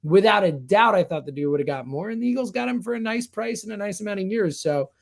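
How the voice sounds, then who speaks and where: A man's voice: high voice, expressive, quick pace, gravelly. A fired-up man, a sports radio guest, giving emphatic, conversational commentary.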